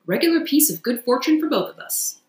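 A woman's voice: sits high, big pitch swings, fast, echoey room, voice pushed up. A woman voicing a big, hearty working barge woman from a storybook, polite, plain-spoken and chatty. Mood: respectful, hearty.

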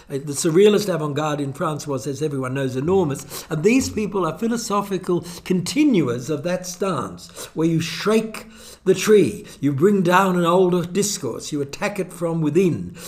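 An older man, a guest philosopher holding forth in a wry, expansive academic conversation. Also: fairly high pitch.

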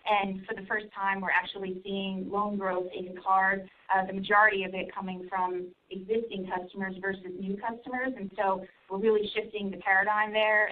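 A woman executive presenting company financial results on an earnings call in a formal, measured corporate manner. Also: mid-range voice, narrow, tinny audio. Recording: distant, band-limited conference call line.

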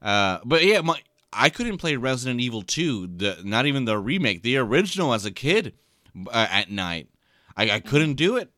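A man's voice: mid-range voice, sing-song, normal speed, pushed and bright, no room sound, studio quality. A man co-hosting a podcast in casual chat, sleepy after a short night, rambling with many restarts and repeated words. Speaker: podcast co-host. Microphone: podcast mic.

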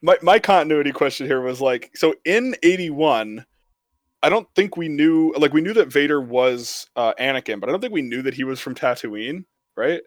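Higher-voiced man chatting casually. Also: expressive, moderate pace, no room sound, clean studio sound. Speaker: podcast panelist. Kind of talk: casual chat.

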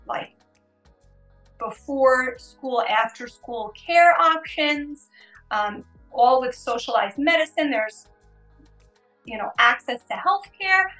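A woman's voice: on the high side, fairly bright tone, roomy sound, poor audio. A woman with an American accent giving conversational answers as a podcast interview guest. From United States.

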